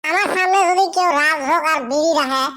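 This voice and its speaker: A man's voice: very high voice, fairly quick, bright, forceful tone, a little hiss or hum. A man voicing a cheeky, boastful village layabout in a comic cartoon. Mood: teasing.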